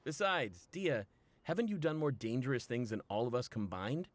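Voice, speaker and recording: A man's voice: medium pitch, very animated, normal speed. A man voicing a cocky, joking mercenary in a game. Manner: flirty, joking.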